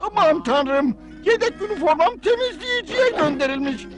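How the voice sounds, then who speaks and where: A man's voice: extremely high pitch, expressive, normal speed, over music. A man playing a fussy, formal, easily flustered butler in a dubbed cartoon, speaking primly and exclaiming.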